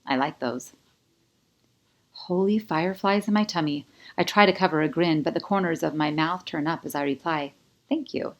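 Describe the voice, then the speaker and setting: Female audiobook narrator, lower-pitched, first-person fiction read with expressive, confiding delivery. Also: a little fast, highs cut off. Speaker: author narrating own audiobook.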